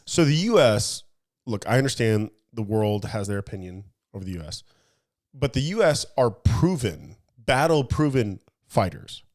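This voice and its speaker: A man's voice: mid-range voice, big pitch swings, unhurried, long pauses, a little mellow. A man co-hosting a casual talk podcast, explaining through analogies and asking his partner leading questions. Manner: talks in analogies, asks leading questions, frequent restarts. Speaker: podcast co-host. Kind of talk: casual chat.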